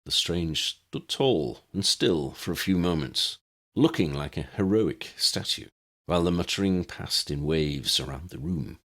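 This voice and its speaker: A man with a low voice narrates a story from a script. His delivery is measured yet expressive, with a widely moving pitch that shapes each phrase like an audiobook storyteller.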